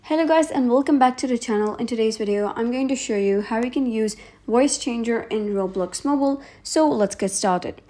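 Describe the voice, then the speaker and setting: Woman with a highish voice doing a how-to voiceover, walking thru it step by step. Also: normal speed, mellow. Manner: instructional, step-by-step. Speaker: tutorial host. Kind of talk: scripted how-to tutorial narration.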